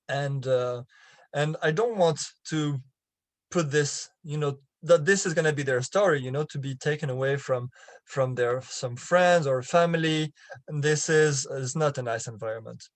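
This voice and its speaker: A middle-aged man from France with a French accent, explaining marketing through his own story and often pausing on fillers and restarts. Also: a little high, no room sound. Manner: halting, many fillers and restarts. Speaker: online marketing coach. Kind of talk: explaining with a personal example.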